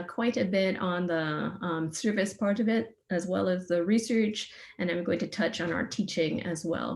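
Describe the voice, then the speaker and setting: Trinidadian woman in her 40s, lowish voice, upbeat science talk. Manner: friendly, enthusiastic, some fillers. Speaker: veterinarian and researcher giving a talk. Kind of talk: informal science presentation. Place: remote online session.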